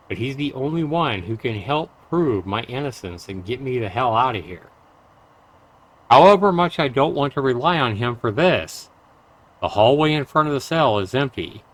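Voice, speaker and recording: A man livestreaming a visual novel, reading it out dramatically and deliberately with casual asides. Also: medium pitch, poor audio. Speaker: game streamer. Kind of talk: reading a visual novel aloud with live reactions. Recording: music behind the voice. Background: game music.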